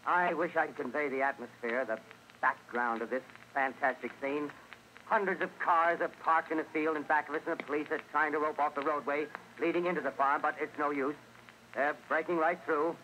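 An actor playing an excited, halting American radio news reporter, a flustered eyewitness in 1930s radio drama style. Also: male voice, a little high. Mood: intrigued, urgent. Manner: halting, breathless on-the-spot commentary. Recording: noisy 1930s radio broadcast off cassette.

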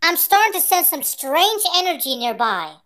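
A performer using a pitched-up voice changer to voice a brave, cocky little alien boy warrior in dramatic anime-style character clips. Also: sing-song, moderate pace, bright, forceful tone, full character voice. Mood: wary, determined. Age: child character.

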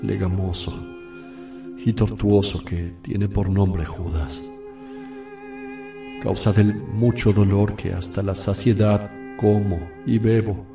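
A sorrowful holy man praying in a radio play, very slow n solemn, low gravelly voice, roomy w/ music. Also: level tone. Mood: sorrowful, pleading. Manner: solemn, sorrowful, measured with long pauses. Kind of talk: radio drama acting, slow prayerful monologue. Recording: distant, roomy, with loud music behind.

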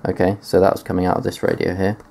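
A man's voice: sits low, completely flat delivery, racing, vocal fry, dark tone, slight background noise. A man giving a casual tech demonstration in a matter-of-fact, explanatory way, presenting gear on video indoors. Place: indoors at a desk.

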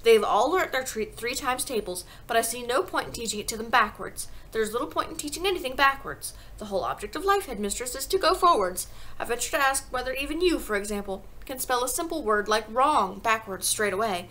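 A woman plays a principled young schoolteacher in a storybook. Her voice is fairly high and bright. She speaks with polite, measured earnestness and quiet firmness. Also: lively intonation. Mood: firm, indignant. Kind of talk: audiobook character voice.

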